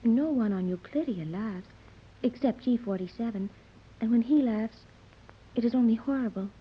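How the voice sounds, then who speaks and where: A woman's voice: a little high, normal speed, breathy edge, lo-fi sound. A woman playing an earnest, precocious fifteen-year-old girl who speaks in formal, bookish phrases, in 1930s radio serial style. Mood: grave. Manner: formal, bookish, precise wording.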